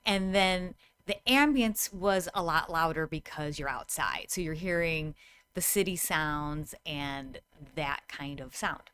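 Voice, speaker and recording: A woman's voice: deep voice, slow pace, fairly bright tone, clean tone. A woman in her thirties giving a tutorial to camera in a conversational, explanatory manner. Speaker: host, VR game designer.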